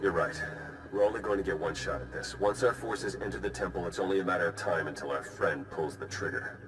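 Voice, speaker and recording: An actor playing an earnest, skeptical agent in a science fiction game cutscene. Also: male voice, sits low, a little fast, over music, lightly acted, rough recording. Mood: serious. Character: earnest, skeptical male agent in a space war. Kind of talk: video game cutscene acting.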